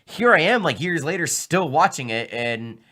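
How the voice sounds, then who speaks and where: A man's voice: fairly high pitch, sing-song, normal speed, bright, forceful tone. A man hosting a casual podcast chat with friends, talking in a rambling way full of fillers and restarts. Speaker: podcast host.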